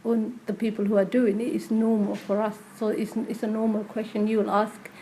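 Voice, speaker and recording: A woman's voice: mid-range voice, flat delivery, brisk, mellow, slight background noise, poor audio. A woman who works as a community health practitioner, talking conversationally in an interview.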